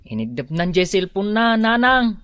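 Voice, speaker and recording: A younger sister in a radio drama. Her voice is mid-pitched and casual, chatty and reassuring. The recording is dry and close. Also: very animated, moderate pace, a bit bright, no echo. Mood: evasive. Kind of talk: Ilocano radio drama acting.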